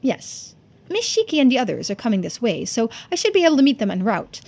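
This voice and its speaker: A woman's voice: fairly high pitch, wildly swooping pitch, fast, gravelly, a little mellow, heavily acted. A woman voicing a polite, composed young video game character who speaks in a formal, precise way. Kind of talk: video game dialogue read-aloud.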